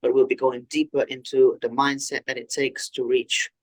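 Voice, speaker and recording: A man hosting an interview podcast, earnest and effusive, often pausing on "um" as he builds his questions. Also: mid-range voice, level tone, low-quality recording. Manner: earnest and effusive, with frequent ums and restarts. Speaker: podcast host.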